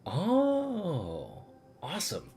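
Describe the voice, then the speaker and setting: A woman's voice: lowish voice, huge pitch swings, drawn-out words, mellow, breathy edge. A woman game streamer giving casual, sweary live reactions in a blunt, teasing, exclamatory way.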